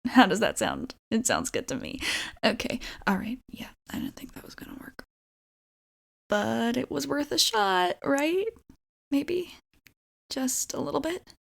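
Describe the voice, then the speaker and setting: A woman playing an awkward, nervous young vampire roommate in an ASMR roleplay, halting and rambling apologetically. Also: high voice, big pitch swings, creaky, heavily acted. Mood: sheepish, bargaining.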